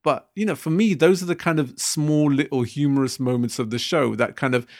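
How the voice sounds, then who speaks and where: A man's voice: a little high, a little fast. A young man co-hosting a casual podcast discussion, thinking aloud and circling back with many restarts and fillers. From Britain. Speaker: podcast co-host.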